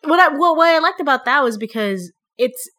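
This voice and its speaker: A lively young woman from the Philippines speaks with an American accent, her voice sitting a little high. She talks bluntly and casually, swearing freely as she co-hosts a chat about a TV show. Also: fairly quick. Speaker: podcast co-host.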